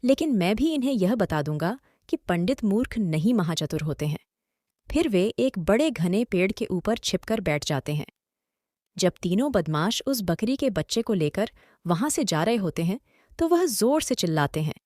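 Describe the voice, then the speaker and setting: A woman's voice: medium pitch, very animated, normal speed, a little mellow, dry recording, studio quality. A woman narrating a scripted story as host and storyteller.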